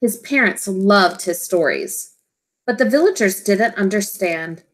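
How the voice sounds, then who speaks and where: A woman teacher reading a children's storybook aloud, expressively and in simple, deliberate phrases for young listeners. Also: medium pitch, expressive.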